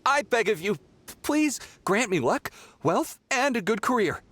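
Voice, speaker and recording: A man voicing an excitable, gullible and easily annoyed young male pilgrim in a video game, with theatrical, pleading and petulant delivery. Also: sits high, a little slow, no room sound, exaggerated voice. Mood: awed, eager. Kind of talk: animated video game voice acting.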